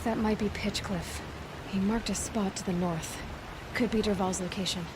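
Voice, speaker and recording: An actress playing a young, resolute hunter in a video game, direct and observant. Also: female voice, mid-range voice, steady tone, moderate pace, background noise, natural voice. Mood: focused, practical. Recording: loud game ambience behind voice.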